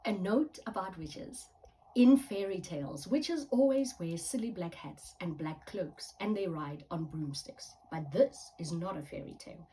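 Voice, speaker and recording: A woman's voice: fairly low pitch, animated, a little slow, dark tone, a little hiss or hum, low-quality recording. A woman who writes children's books, reading a story aloud with dramatic storytelling delivery. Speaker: children's author.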